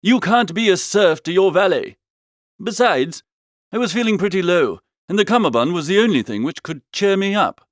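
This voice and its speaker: A man's voice: high-pitched, lively intonation, normal speed, no room sound, studio quality. A man reading a first-person comic story as an audiobook, with a breezy, theatrical manner. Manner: breezy, theatrical, old-fashioned upper-class idiom. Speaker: audiobook reader.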